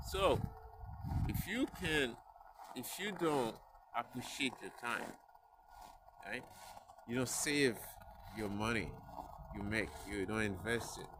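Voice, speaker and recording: A man speaking English as a later language, giving an earnest motivational talk to his viewers, with many restarts and pauses. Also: mid-range voice, heavy background noise. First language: not English. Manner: halting, restarts words, earnest. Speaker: vlogger.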